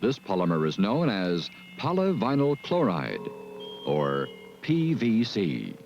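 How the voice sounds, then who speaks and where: Measured male film narrator, lo-fi. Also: fairly low pitch, wildly swooping pitch, vocal fry, background noise. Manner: measured, deliberate delivery. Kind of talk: scripted educational narration. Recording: dull lo-fi film soundtrack with music.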